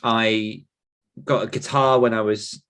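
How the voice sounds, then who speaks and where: A man's voice: lowish voice, fairly even intonation, measured pace, fairly bright tone, clean tone. A man in his thirties chatting casually and reflectively on a podcast, rambling and self-deprecating in manner. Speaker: podcast host and author telling his own story.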